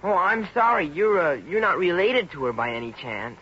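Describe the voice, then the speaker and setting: An actor playing an eager high school boy in a 1950s radio comedy, youthful, polite but cheeky. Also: animated, moderate pace, bright, forceful tone, slightly rough, full character voice. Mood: cheeky, earnest. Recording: dull, noisy 1950 radio recording.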